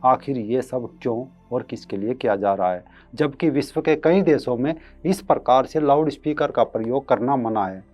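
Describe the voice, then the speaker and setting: A man's voice: mid-range voice, normal speed, a little mellow, muffled recording, soft background music. A man hosting an online commentary show, reading a scripted piece with emphatic, rhetorical delivery.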